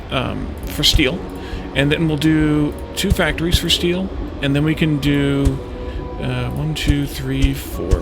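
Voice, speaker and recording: A man hosts a video game playthrough, talking through his decisions as he goes. His mid-pitched voice has a noticeable creak, and his delivery is hesitant and unscripted. Music plays clearly behind him. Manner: thinks aloud, hesitant and halting. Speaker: let's play host. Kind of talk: unscripted gameplay commentary. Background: clear background music.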